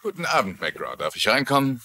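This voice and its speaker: Curt, menacing promoter, forceful voice. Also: male voice, mid-range voice, sing-song, brisk. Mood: businesslike. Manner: curt, condescending. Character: cold, menacing, businesslike male fight promoter. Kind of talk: German-dubbed TV crime drama.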